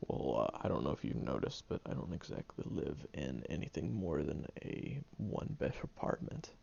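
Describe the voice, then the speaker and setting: A man's voice: extremely low pitch, very animated, measured pace, gravelly, darkish tone, slight background noise, heavily acted. A man voicing a prickly young male student who secretly cares, talking hesitantly with stammers and ums in an audio roleplay. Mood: grudgingly listening. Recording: dull lo-fi recording.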